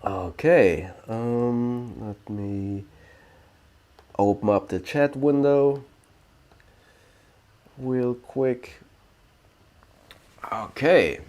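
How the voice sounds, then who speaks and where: A man in his late thirties from Germany with a German accent, hosting a casual question-and-answer livestream, pausing often with ums and restarting sentences. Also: mid-range voice, darkish tone. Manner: slow, hesitant, many ums and restarts. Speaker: livestream host.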